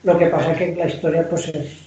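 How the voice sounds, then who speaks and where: A man's voice: fairly high pitch, little pitch movement, a little fast, dark tone, slight background noise. A man from Spain who writes novels, talking loosely about history in a rambling way with many restarts. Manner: rambling, frequent restarts and repeated words. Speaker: novelist guest. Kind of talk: casual conversation on history. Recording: dull lo-fi sound in an echoey room.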